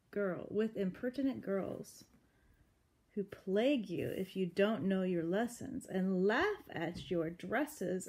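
A woman's voice: fairly low pitch, mellow, husky. A woman reading the part of a vain, self-pitying youngest sister from a storybook, emphatic and aggrieved. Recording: noisy home recording with clicks.

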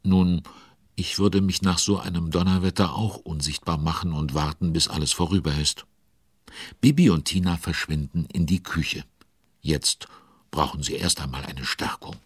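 A man narrating a children's audio drama as a chatty, involved storyteller with conspiratorial asides and a husky voice. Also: deep voice, steady tone, normal speed, no echo, studio quality. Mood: chatty, musing.

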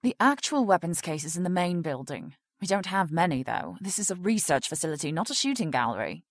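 An actress playing a prim, rule-bound research project director in an audio drama, formal and officious. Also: female voice, fairly low pitch, big pitch swings, a little fast, no room sound, clean studio sound. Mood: urgent, resolute.

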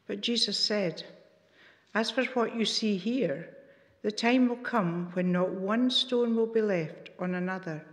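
A woman reading a Bible passage aloud in church, careful and clearly phrased. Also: medium pitch, fairly bright tone. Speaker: scripture reader. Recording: long church reverb, distant mic.

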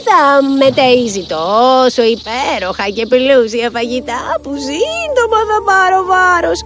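A woman voicing a brave, gentle, cunning old village woman in a children's fairy-tale cartoon, with coaxing, drawn-out phrasing. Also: sits high, very animated. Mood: coaxing, flattering. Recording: music behind the voice.

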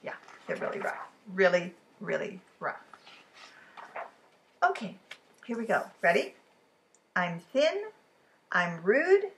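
A woman in her fifties reading riddle poems aloud for a children's storytime, expressive and deliberate, with chatty asides to the listeners. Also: medium pitch. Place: living room at home, indoors. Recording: clearly noisy room recording.

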